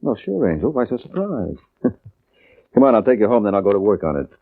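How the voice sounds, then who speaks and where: An actor playing a wry, flirtatious hard-boiled private detective in a 1950s radio drama, flippant and wisecracking. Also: male voice, fairly low pitch, big pitch swings, brisk, vocal fry, loud music behind the voice. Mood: brisk, teasing. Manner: flippant, wisecracking, calls woman angel. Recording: muffled, noisy old radio broadcast.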